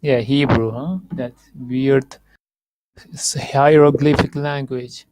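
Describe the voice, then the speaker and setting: Halting male podcast host, dry sound. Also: medium pitch, steady tone, darkish tone, no room sound, rough recording. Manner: halting, many restarts and fillers, laughs often. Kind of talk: casual interview chat.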